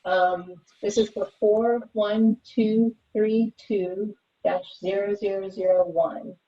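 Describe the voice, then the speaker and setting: A woman's voice: medium pitch, fairly even intonation, slow pace. A woman chairing a committee conference call, running procedural discussion and votes. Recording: muffled, distant conference call audio.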